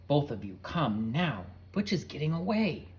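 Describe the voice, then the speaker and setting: A man's voice: a little high, big pitch swings, normal speed, a little mellow, pitched above the performer's own voice. A man voicing a frazzled boy who scolds his unruly dogs. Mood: exasperated. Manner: exasperated, scolding.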